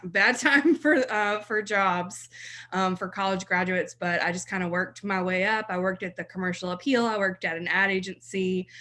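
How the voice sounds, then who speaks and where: Woman, thirties, from South Mississippi, podcast guest, chatty, laughs easily, bright voice. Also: medium pitch. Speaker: blog writer, guest. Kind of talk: podcast interview.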